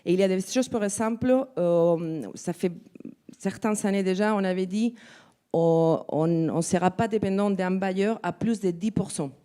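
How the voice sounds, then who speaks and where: A woman with a non-native French accent speaking on a conference panel, halting and searching for words with frequent restarts. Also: medium pitch, dark tone, clear, resonant tone. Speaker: NGO representative on a panel. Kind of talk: spontaneous panel talk. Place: conference room.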